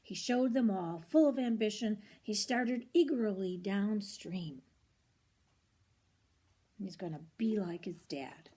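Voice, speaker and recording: An older woman reads a children's storybook aloud in an expressive, grandmotherly way, with short asides to the listener. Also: mid-range voice, slow pace, breathy edge. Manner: expressive, grandmotherly, commenting on the pictures.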